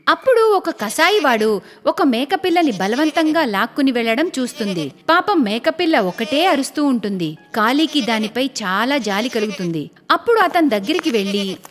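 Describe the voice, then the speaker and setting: A woman narrating a scripted cartoon story in an expressive storytelling style. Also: sits high, big-room sound. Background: faint music.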